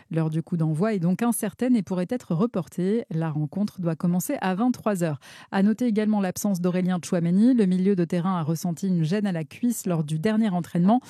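A woman's voice: mid-range voice, mellow, no echo, clean studio sound. A woman reading a scripted radio news bulletin as a newsreader.

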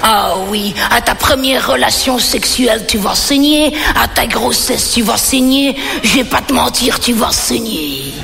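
Brief: comedian doing a bright, high, blunt Belgian neighbor lady, no-nonsense, heard in a ringing hall. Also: expressive, a little slow, creaky, few pauses, soft background music, full character voice. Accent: Belgian French. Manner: graphic, matter-of-fact, escalating warnings.

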